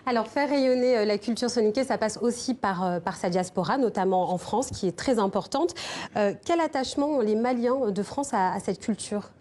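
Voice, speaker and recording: A woman's voice: medium pitch, normal speed, mellow. Hesitant female TV interviewer. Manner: hesitant, many fillers between words. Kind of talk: TV interview questions.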